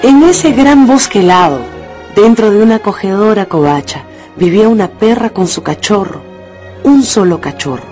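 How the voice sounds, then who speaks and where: Expressive woman storyteller over music. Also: medium pitch, normal speed. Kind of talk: storytelling narration for a radio novel.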